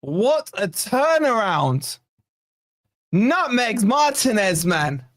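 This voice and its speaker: Hoarse male fan host, high-pitched, excitable partisan live commentary, dry close mic. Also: drawn-out words, no room sound. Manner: excitable, swearing, partisan play-by-play. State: hoarse, losing his voice. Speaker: fan channel watch-along host.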